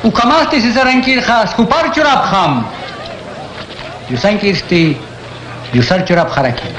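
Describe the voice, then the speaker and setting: Un hombre interpreta a Jesús enseñando con calma y tono instructivo en un radioteatro cristiano. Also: male voice, high-pitched, unhurried, some chatter behind, a little hiss or hum. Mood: teaching. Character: Jesus, calm adult male teacher. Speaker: voice actor. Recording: echoey room.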